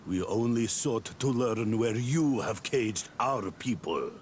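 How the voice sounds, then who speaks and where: An actor playing a proud native raider in a fantasy game, speaking solemnly and formally. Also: male voice, lowish voice, lively intonation, takes it slowly, slight background noise. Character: defeated, proud native male raider bound by honor. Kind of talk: scripted game cutscene acting.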